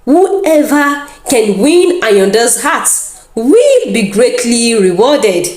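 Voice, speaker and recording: A woman's voice: on the high side, very animated, takes it slowly, a little mellow, echoey room. A woman voices a brave, cunning army captain in a folktale, speaking in dramatic declarations. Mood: commanding, resolute. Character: brave, cunning male army captain, commanding and smooth-talking.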